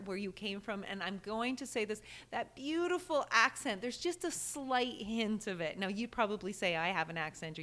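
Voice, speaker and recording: A woman hosting an interview podcast, enthusiastic and lively, breaking into laughter. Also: medium pitch. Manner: enthusiastic, warm-toned interviewer with bursts of laughter. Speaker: podcast host.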